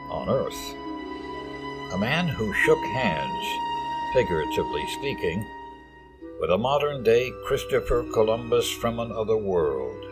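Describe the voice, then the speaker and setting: A man performs as a solemn, wry male narrator of a radio play. His formal, dramatic delivery rises and falls widely in a low voice. Music plays clearly behind him.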